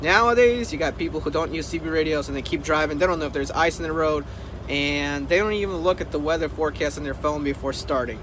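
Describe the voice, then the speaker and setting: A man's voice: fairly high pitch, fast talker. A man talking casually to the camera as a trucking vlogger, urging his points emphatically and repeating them. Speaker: trucker and video host. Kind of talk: casual vlog talk to camera. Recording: noisy vehicle and outdoor background.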